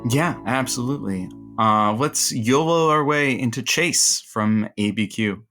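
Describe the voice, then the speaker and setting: A man's voice: medium pitch, measured pace, bright, forceful tone, faint music behind. A man playing a flippant, evasive radio producer who deflects with breezy wisecracks. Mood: flippant, wry. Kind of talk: scripted audio comedy banter.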